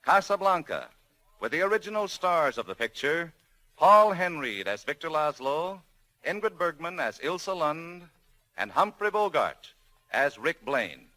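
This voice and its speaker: A man's voice: fairly high pitch, very animated, measured pace, bright, forceful tone, no room sound, rough recording. A man announcing and narrating formally in the style of a 1940s radio broadcast. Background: music.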